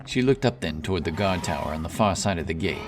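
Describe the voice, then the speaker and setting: Deep-voiced, solemn male fantasy narrator. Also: expressive, fast talker, background noise. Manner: solemn storytelling. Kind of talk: dramatic scripted fantasy narration. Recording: music under the narration.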